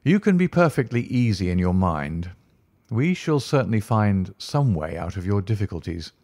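A man voicing a calm, incisive male detective who speaks in a measured, commanding way. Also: lowish voice, no room sound, clean studio sound.

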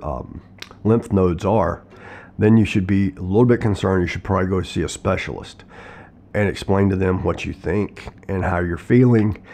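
A middle-aged man with a hoarse throat from a cold, talking casually to camera from his living room, plain-spoken with frequent ums and restarts. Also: deep voice, a little mellow. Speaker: vlogger sharing his health story. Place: living room at home, indoors.

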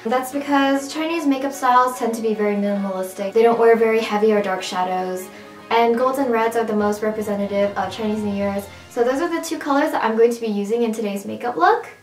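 Raspy-voiced young woman, chatty vlogger. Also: on the high side, quick pace, echoey room, soft background music, poor audio. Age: young adult. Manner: chatty, upbeat, casual with fillers. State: raspy, strained voice. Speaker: beauty vlogger. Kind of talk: makeup tutorial talk. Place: small room at home, indoors.

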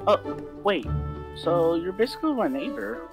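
A fairly high-pitched, easygoing young male newcomer, played by a man speaks over music. His replies are casual, short and hesitant, in the loose manner of an improvised anime game roleplay. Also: big pitch swings, normal speed. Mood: friendly, casual. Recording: music behind the voice.

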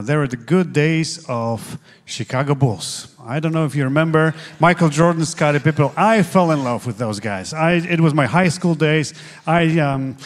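Polish man telling his story, halting. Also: on the high side. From Poland. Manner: earnest, halting, many fillers. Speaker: guest pastor. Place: church stage, seated in armchair. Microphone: handheld mic.

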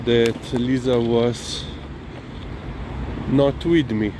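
A man's voice: medium pitch, fairly even intonation. A man with a Slovenian accent rambles casually and hesitantly in a walk-and-talk vlog, often filling in with "you know". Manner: hesitant, halting delivery with frequent "you know". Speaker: dog owner and vlogger. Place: outdoors, on a walk. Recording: clearly noisy outdoor ambience, vehicle sounds.

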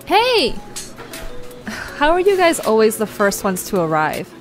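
A woman's voice: on the high side, wildly swooping pitch, measured pace, soft background music. A woman playing an upbeat, wry young woman in an audio drama. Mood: excited.

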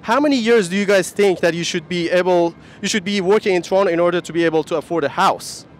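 A young man with a rather high voice works a downtown corner with a handheld mic. He asks polite, quick questions and repeats each answer back. Light traffic hum sits behind him. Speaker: street interviewer. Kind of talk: vox pop street questions. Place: busy downtown street corner outdoors. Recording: traffic noise outdoors.

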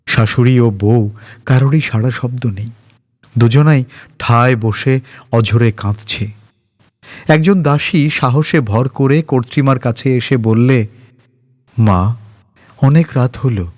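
A man narrating a scripted story with expressive, dramatic phrasing. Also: medium pitch. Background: faint background music.

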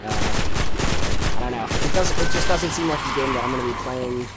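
A man's voice: medium pitch, brisk, heavy background noise. A young man with a cold and a sore voice, rambling casually while commentating gameplay. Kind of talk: let's play commentary. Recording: voice over loud game audio.

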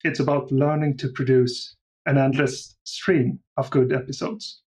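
A man giving measured, reflective answers as a casual interview guest. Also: medium pitch, flat delivery, a little mellow. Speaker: audio drama creator, guest.

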